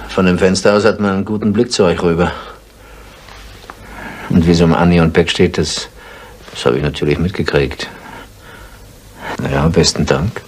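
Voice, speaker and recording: Low-voiced, halting village neighbour played by a man. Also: steady tone, close to an everyday voice. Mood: guarded, polite. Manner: hesitant, halting, politely evasive. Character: indebted village farmer, evasive, slyly polite neighbour. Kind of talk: 1970s German radio crime drama acting. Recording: faint hiss on old radio recording.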